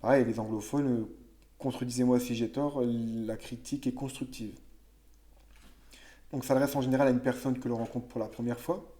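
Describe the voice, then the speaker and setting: A man with a mellow, relaxed voice of ordinary pitch explains grammar to young pupils. He speaks casually, musing aloud, with small restarts and self-corrections. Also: moderate pace. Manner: conversational, thinks aloud with fillers and self-corrections. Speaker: teacher. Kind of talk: explaining French grammar to children.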